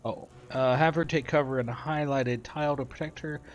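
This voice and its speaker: A man's voice: mid-range voice, steady tone, a little fast, lo-fi sound. A man hosting a gameplay video, casually commenting and reading tutorial text aloud. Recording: faint background noise, game ambience.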